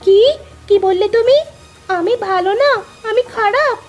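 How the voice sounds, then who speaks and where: A woman's voice: very high-pitched, a little slow, faint music behind. A woman voicing a vain, touchy young village wife in a cartoon. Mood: offended. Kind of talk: cartoon dialogue acting.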